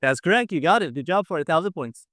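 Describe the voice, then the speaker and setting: Higher-voiced man hosting an online quiz. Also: lively intonation, fast, a bit bright, no room sound, studio quality. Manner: encouraging, repeats himself. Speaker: quiz show host. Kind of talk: casual game show hosting. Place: online video call.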